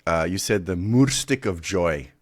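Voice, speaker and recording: A South African man with a partly American accent hosting a podcast interview, animated and quick to laugh, often restarting sentences. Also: low-pitched. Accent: South African with American influence. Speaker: podcast host. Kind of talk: casual interview chat.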